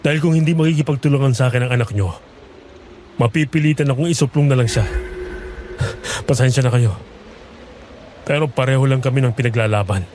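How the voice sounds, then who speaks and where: A man playing a determined, protective young farmer in a horror audio drama, speaking in a measured, earnest way. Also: mid-range voice, darkish tone, a little hiss or hum, natural voice. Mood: resolute.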